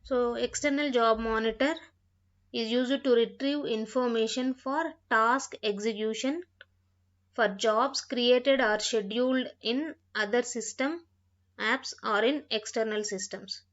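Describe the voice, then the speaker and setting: A woman giving an instructional software tutorial, reading out points and repeating phrases as she explains them, on a dull, lo-fi microphone. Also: on the high side, a little slow. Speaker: software trainer.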